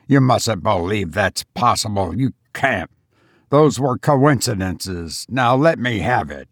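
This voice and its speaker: A man's voice: fairly low pitch, lively intonation, takes it slowly, no room sound, studio quality. A man playing a grim, grieving doctor and father in a story reading, weary and pleading with a groan in his voice.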